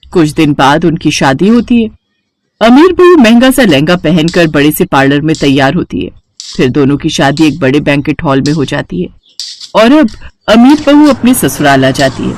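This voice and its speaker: A woman narrating a scripted children's bedtime story. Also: lowish voice.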